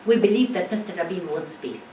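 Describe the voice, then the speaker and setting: A woman's voice: lowish voice, animated, fairly quick, mellow. A woman from northern Israel, speaking emphatically and asking rhetorical questions in a public talk. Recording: echoey room, mic at a distance, faint hiss.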